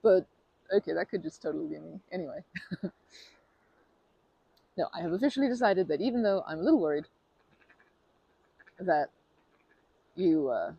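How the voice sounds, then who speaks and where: A woman's voice: on the low side, lively intonation, normal speed, gravelly, pauses often, breathy edge, no room sound, lo-fi sound. A woman doing an ASMR roleplay as a friendly stranger who talks to the listener, rambling and hesitant, often restarting and correcting herself in an earnest, chatty way. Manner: friendly and earnest, with light laughs.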